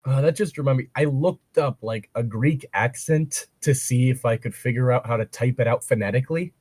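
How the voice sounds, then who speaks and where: A man's voice: medium pitch, moderate pace, a little mellow, breathy edge, no echo. A man chatting casually between bits of reading fan fiction aloud, rambling and breaking off to comment or swear.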